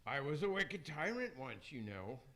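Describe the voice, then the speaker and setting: Vain theatrical tyrant's ghost from hell, played by a man, declaiming radio-play rhymes with big pitch swings. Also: sing-song, normal speed, a bit bright. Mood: chastened, wistful. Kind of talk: amateur radio play acting.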